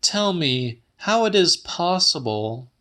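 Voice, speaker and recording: A man's voice: on the high side, sing-song, slow, sharp tone, voice pushed up, heavily acted. A man voicing a tearful, impatient young woman in a dramatic audiobook, plaintive and snappish. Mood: upset.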